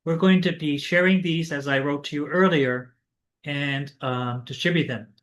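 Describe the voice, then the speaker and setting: A man's voice: a little high, normal speed, a bit bright. A man moderating an online panel, courteously reading out audience questions over a video call.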